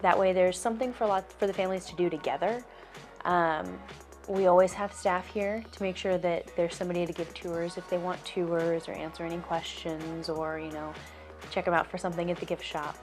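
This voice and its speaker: Lower-voiced woman, museum staff interview. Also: steady tone, fairly quick, soft background music. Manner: friendly explaining with fillers. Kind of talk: interview answers.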